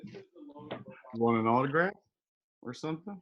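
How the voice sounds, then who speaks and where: Husky man acting a cocky closeted young actor. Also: a little high, huge pitch swings, a little slow, dull audio, heavily acted. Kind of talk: table read of a comedy web series.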